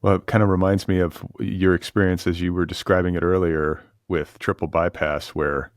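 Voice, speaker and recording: An American man hosting an interview, speaking casually in a measured, reflective way with tentative phrasing. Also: sits low, level tone, no room sound, studio quality. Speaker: podcast host, interviewer.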